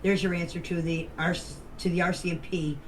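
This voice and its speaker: A woman's voice: lowish voice, little pitch movement, brisk. A woman recounting events informally, in a blunt, defensive and emphatic manner. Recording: faintly noisy voice-message recording.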